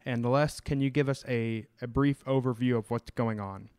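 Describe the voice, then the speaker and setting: A male podcast host talks casually about scripture, often stopping to restart his sentences or fill a gap with an "uh." His voice comes across smooth and clear, and the recording is close and dry, with no room sound. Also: mid-range voice, fairly even intonation, a little mellow, no room sound. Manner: halting, many fillers and restarts.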